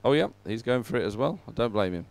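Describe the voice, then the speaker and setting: Casting: male live event commentator, smooth clear voice, informal and chatty, hesitant thinking-aloud delivery. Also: medium pitch, animated, fast talker.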